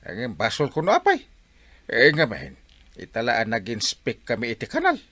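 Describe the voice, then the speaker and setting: Wildly animated, fretful Ilocano village captain. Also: male voice, mid-range voice, moderate pace, dry recording, full character voice. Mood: stressed. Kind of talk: Ilocano comedy radio drama acting.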